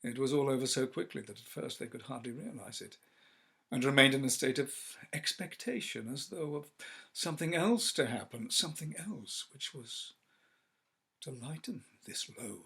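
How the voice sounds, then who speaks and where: A man reading a classic story aloud as an actor, measured and theatrical, letting phrases fall to a hush. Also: medium pitch, expressive, creaky, breathy edge. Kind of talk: dramatic literary narration.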